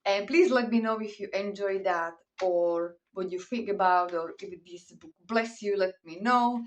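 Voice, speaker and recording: A woman's voice: medium pitch, a little slow. Earnest Slovak-accented woman, slightly distant. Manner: earnest, encouraging, simple non-native grammar. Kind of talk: encouraging faith talk to camera.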